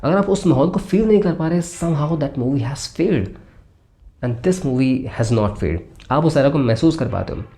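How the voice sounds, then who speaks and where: A man's voice: mid-range voice, dark tone. A man with an Indian accent hosts a conversational film review, explaining his points and mixing in English phrases.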